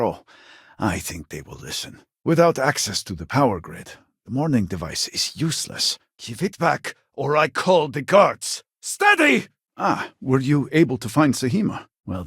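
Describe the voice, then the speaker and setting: An actor playing a weary, devout male scientist in a sci-fi video game, speaking in a formal, measured, earnest way with a husky voice. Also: mid-range voice, dry recording, clean studio sound. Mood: alarmed. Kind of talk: scripted video game character dialogue.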